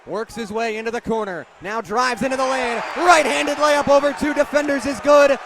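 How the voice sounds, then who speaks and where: A young man doing animated live radio basketball play-by-play, vividly calling the action and raising his voice on big plays. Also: very high voice, moderate pace. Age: young adult. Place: courtside in a noisy basketball arena. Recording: loud arena crowd and bouncing ball. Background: crowd noise, basketball bounces.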